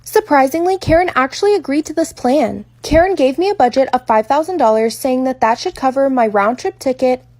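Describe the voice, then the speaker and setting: Woman, high pitch, scripted storytime narration, articulate and orderly, dry recording. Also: brisk, hardly any pauses, darkish tone, dry recording. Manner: orderly, articulate, full sentences. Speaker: makeup artist storyteller.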